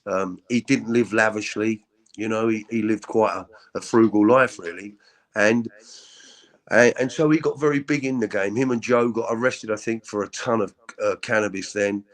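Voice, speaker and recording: A middle-aged man with a deep voice, telling his story as an interview guest in a halting, candid way with many repeats. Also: steady tone. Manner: halting, repeats words, frequent ums.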